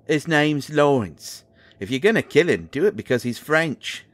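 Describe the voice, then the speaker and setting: A man's voice: on the high side, lively intonation, moderate pace, no echo. A man playing a cheeky, mouthy male musician sidekick with a British accent in an audio drama, sarcastic and slangy. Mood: mocking.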